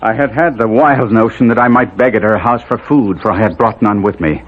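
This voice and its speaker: An actor playing an anguished nobleman trapped in a monster's body, narrating theatrically and deliberately in a 1970s radio drama. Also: fairly low pitch, close to an everyday voice. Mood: weary, wretched. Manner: theatrical, florid, deliberate storytelling. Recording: lo-fi phone-like vintage radio broadcast.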